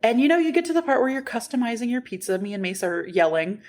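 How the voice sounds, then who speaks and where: Animated woman telling road trip stories. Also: fairly high pitch, fast talker. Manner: animated, laughing, swearing storyteller. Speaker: podcast co-host. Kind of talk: casual chat.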